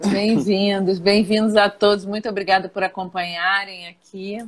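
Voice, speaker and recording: A woman's voice: medium pitch, flat delivery, normal speed. A woman hosting a live online interview, greeting viewers and prompting her guests. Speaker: host.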